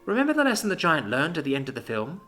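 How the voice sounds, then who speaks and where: Man putting on a fretful young shut-in woman, high voice, precise, bright tone. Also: wildly swooping pitch, very fast, soft background music, heavily acted. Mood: gentle. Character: fussy reclusive young woman, anxious and precise. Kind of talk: audiobook character voice.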